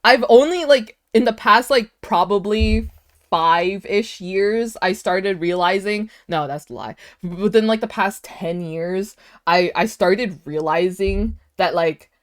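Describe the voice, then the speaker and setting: A woman, Vietnamese, talks loosely to her stream chat. Her delivery is animated and chatty, peppered with "like" and quick laughs. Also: mid-range voice. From United States. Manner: animated, chatty, laughs often, many "like" fillers. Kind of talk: casual livestream chat, reading chat aloud.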